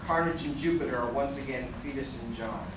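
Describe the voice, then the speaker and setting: A man's voice: medium pitch, a little fast. Man, dramatic scripted narration, very echoey, noisy live recording. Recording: echoey hall, distant mic, noisy.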